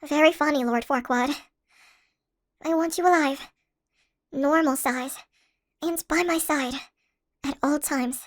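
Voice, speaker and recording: Woman acting a tiny, high-pitched shrunken college girlfriend. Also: slow, long pauses. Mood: playful, teasing. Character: tiny shrunken young college woman, playful affectionate crush. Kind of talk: scripted ASMR audio roleplay.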